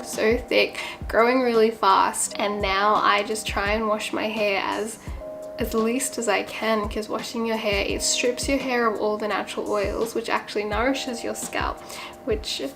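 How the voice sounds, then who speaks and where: A young woman with an Australian accent talks informally to her viewers. Her voice sits slightly high and often breaks into a creaky fry. Music plays clearly behind her easygoing, conversational delivery. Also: fairly bright tone. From Sydney, Australia. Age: young adult. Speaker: vlogger. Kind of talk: casual chat to camera, answering questions.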